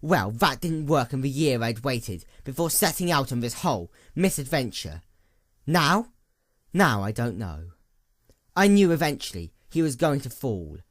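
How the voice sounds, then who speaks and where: Guy doing a bitter hollowed-out mare from a story, intense and brooding, pitch all over. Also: very animated, measured pace, dry recording, clean studio sound. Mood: anguished, intense. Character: embittered, hollowed-out female pony, the princess's former student. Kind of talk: dramatic storybook character voice.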